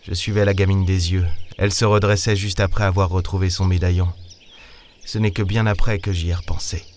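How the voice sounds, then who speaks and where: A man's voice: low-pitched, little pitch movement, fairly quick, darkish tone, a little hiss or hum, lightly acted. A man playing a weary, lonely space captain, narrating an audio drama in brooding, hesitant short phrases. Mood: grave, reflective. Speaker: narrator and lead character of an audio serial. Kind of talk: dramatic first-person audio fiction narration.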